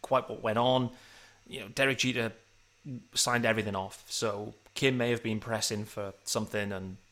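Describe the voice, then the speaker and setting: A man's voice: on the low side, fairly even intonation, moderate pace, fairly bright tone. A man co-hosting a casual sports podcast, speaking in an easygoing, agreeable way. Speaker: podcast co-host.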